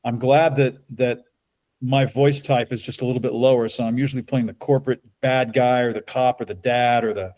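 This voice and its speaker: A middle-aged man with a low bass-baritone voice, a voice actor talking at length in a casual interview. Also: brisk. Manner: relaxed, talkative, storytelling. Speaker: voice actor guest.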